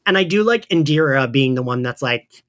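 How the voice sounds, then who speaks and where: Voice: mid-range voice, expressive, moderate pace, a bit bright. A podcast host in casual recap chat, giggly, peppering sentences with "like". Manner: giggly, frequent filler "like".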